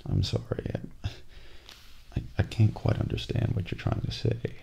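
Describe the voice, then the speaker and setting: A man playing a cold, calculating assassin in an ASMR role play, speaking softly and deliberately with polite menace into a close microphone. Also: close to an everyday voice. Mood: coolly polite. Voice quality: breathy, gravelly, near-whisper. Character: cold, calculating male assassin agent gloating over his victim. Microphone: close binaural microphone. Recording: close binaural mic, faint noise.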